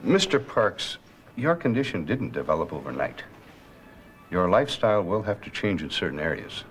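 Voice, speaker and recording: A man acting as a calm, earnest physician in a scripted drama, explaining things in a measured, formal, instructive way. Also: low-pitched, soft background music. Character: calm, earnest male doctor explaining treatments. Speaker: actor playing a physician.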